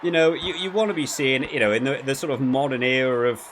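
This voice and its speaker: A man with a mid-range voice comments casually as he plays a video game. He thinks aloud in halting, repeated phrases and picks critically at what he sees. Faint noise sits behind his voice. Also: medium pitch, fairly bright tone, a faint crowd. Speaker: gaming video creator reviewing while playing.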